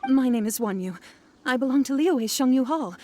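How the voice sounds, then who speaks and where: High-voiced, polite, flustered scholar. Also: female voice, lively intonation, normal speed, warm tone. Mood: relieved. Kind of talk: video game voice acting.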